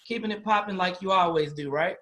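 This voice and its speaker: A man's voice: high voice, fairly quick, a bit bright. A man hosting a school podcast, chatting casually with a joking, teasing, familiar manner. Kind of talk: casual interview chat.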